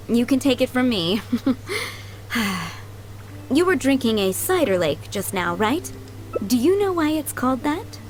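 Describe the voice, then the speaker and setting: A kindly, motherly maid of middle age from a video game. Her voice sits fairly high and moves with lively melody. She chats politely and dotingly over soft background music. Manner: polite, doting, gently chatty. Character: kindly motherly head maid, fondly remembering boys she raised. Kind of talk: video game character acting.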